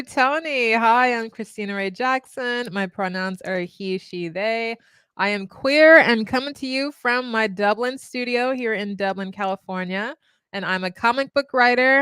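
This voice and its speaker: Bubbly co-host with a smooth voice. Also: female voice, fairly high pitch, fairly bright tone, no room sound. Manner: bubbly, enthusiastic. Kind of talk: live web show co-hosting. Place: home studio.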